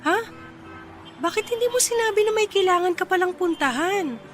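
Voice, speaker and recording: A woman playing a proud, easily irritated but shy female office boss in a Tagalog radio drama, with an exasperated, expressive delivery. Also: extremely high pitch, a little fast, faint music behind. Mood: apologetic, chiding. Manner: exasperated, expressive, mixes in English phrases.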